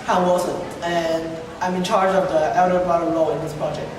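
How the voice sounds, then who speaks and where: A young man reflecting in an informal interview, halting and repeating his words. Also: on the high side, flat delivery. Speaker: student puppeteer and interviewer. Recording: very echoey, distant, noisy room.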